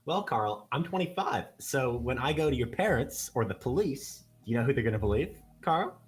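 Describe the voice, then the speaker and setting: A man plays a petty, smug repair guy who bullies kids for cash. His pitch swings widely as he talks. Soft music plays underneath. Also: sing-song, normal speed, natural voice. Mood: smug bullying. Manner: smug, bullying. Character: shady repairman, petty extortionist.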